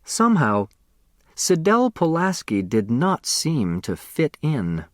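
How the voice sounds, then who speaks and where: Male narrator for fiction: expressive storytelling, crisp phrasing, very dry sound. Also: fairly high pitch, slow pace, no echo. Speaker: audiobook narrator.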